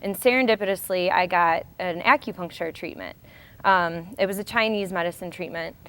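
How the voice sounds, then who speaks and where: A woman's voice: on the low side, normal speed, a bit bright, clean tone. An American woman hosting an informal educational show, presenting as an acupuncturist.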